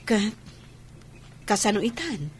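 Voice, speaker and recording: A woman's voice: mid-range voice, sing-song, slow pace, crowd noise. A woman playing a concerned, sympathetic friend and confidante in an Ilocano audio drama, speaking without hurry.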